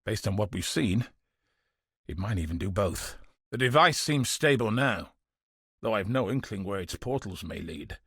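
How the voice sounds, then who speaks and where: An actor playing a gruff, dutiful veteran soldier and tracker in a video game, with a husky voice and a commanding, earnest, formal manner. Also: male voice, fairly low pitch, lively intonation, normal speed, fairly bright tone, no echo, studio quality, heavily acted. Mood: measured, wary. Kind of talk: scripted video game dialogue.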